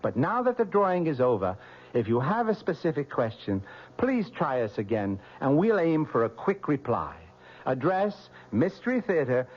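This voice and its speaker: A man, a radio show producer, making an earnest on-air announcement and reading out an address carefully. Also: fairly high pitch. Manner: earnest, grateful, carefully spelled out. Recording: dull lo-fi old radio broadcast.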